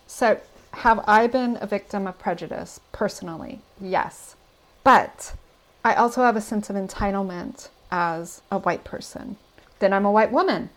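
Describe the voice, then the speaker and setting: A woman's voice: medium pitch, low-quality recording. An American woman answering interview questions about travel in an earnest, reflective way. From United States. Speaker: podcast guest.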